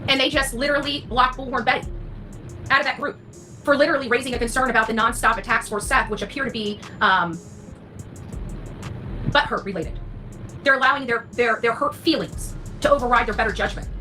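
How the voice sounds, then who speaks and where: A woman's voice: on the high side, brisk, pauses often, bright, forceful tone, low-quality recording. A woman delivering an impassioned, blunt rant to an online audience, indignant and emphatic, often swearing. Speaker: online true crime content creator. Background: background music.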